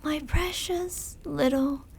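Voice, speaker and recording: An ancient female vampire in an intimate ASMR roleplay. Her voice sits extremely high and she draws her words out slowly. She is seductive, possessive and cruel, giving orders in a coaxing, controlling way. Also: creaky, a little hiss or hum, heavily acted. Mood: possessive, soothing. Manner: slow, commanding, coaxing, with whispered endings.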